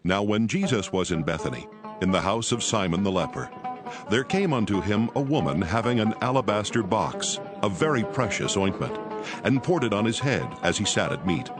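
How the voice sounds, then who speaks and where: A man's voice: lowish voice, expressive, normal speed. A man giving a scripted narration of scripture in archaic English. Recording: voice over prominent music.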